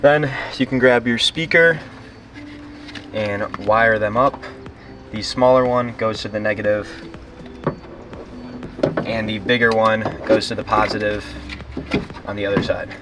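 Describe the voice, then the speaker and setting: A man's voice: on the low side, steady tone, unhurried, pauses often, background noise. A man hosting a DIY car tutorial, giving plain, informal step-by-step instructions in a casual how-to style.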